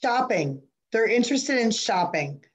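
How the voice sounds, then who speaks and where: An older woman, a Spanish teacher, chatting on a video call in a quick, lively way. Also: on the high side, slightly rough. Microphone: laptop webcam video call.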